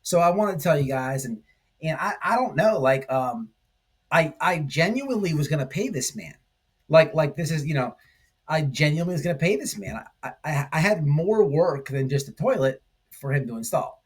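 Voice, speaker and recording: A man hosting a commentary stream, talking casually and haltingly, repeating phrases for emphasis. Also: on the high side, expressive, slightly rough. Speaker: host of a livestream commentary channel. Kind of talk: casual rambling drama commentary.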